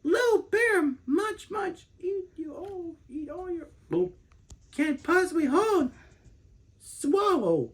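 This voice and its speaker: A man's voice: very high voice, very animated, takes it slowly, voice pushed up, full character voice. A man voicing a protective, easily startled mother bear in a children's storybook. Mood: muffled, instructing.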